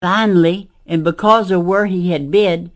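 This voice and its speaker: A woman in her nineties reading a rhyming children's book aloud, phrase by phrase with deliberate pauses. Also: fairly low pitch, fairly even intonation. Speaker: amateur story reader.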